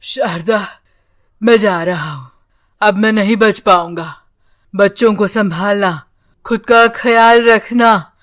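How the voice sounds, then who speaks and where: A woman's voice: medium pitch, slow pace, fairly bright tone, pitched below the performer's own voice. A woman voicing an ailing, needy village husband in a story, laboured and plaintive. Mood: dying farewell.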